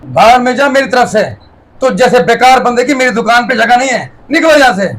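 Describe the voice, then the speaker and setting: Very high-pitched stern tailor master , male actor. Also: lively intonation, brisk, a bit bright. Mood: scornful anger. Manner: brusque with underlings. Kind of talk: moral story drama acting.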